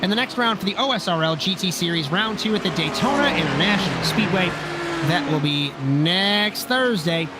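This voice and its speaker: A man with a raspy voice from a sinus infection, commentating a sim race in a relaxed, chatty way that often corrects itself. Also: sits high, moderate pace, loud music behind the voice. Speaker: lead commentator. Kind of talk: sim racing play-by-play commentary.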